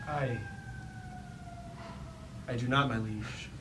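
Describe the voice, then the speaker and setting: A man's voice: medium pitch, steady tone, drawn-out words. An actor playing a suspicious, anguished robot lieutenant on stage, in theatrical, archaic tragic speech full of resentment for his master. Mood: hushed, shaken. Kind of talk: staged reading of mock Greek tragedy. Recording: roomy, distant mic, faint background noise.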